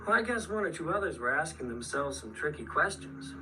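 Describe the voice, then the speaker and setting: A man's voice: on the high side, big pitch swings, fairly quick, background noise. A male actor playing an earnest, haunted man in a radio horror drama. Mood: grave, wry. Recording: distant radio drama playback, background sound.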